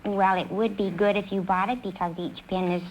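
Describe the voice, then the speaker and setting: Soft-spoken hesitant woman in her twenties. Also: medium pitch, fairly even intonation, brisk, low-quality recording. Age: 20s. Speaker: quiz show contestant. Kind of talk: answering questions on a quiz show. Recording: hissy old broadcast recording.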